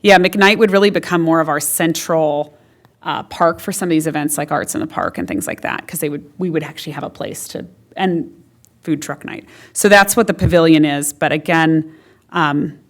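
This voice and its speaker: A woman's voice: low voice, moderate pace. A woman presenting options at a public meeting in a council chamber, chatty and full of "like" and fillers.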